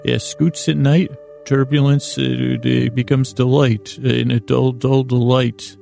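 A man hosting a sleep podcast in a calm, lulling, soothing way, rambling haltingly and circling back over his own words. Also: mid-range voice, vocal fry, faint music behind. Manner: hesitant, halting, circles back and repeats himself. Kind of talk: meandering, rambling bedtime monologue.

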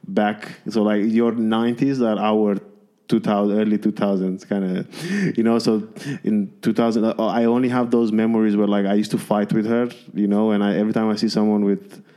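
A man's voice: fairly low pitch, level tone, brisk, dark tone. A man from Romania, a podcast guest, talking casually and hesitantly, with many restarts. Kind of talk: casual chat.

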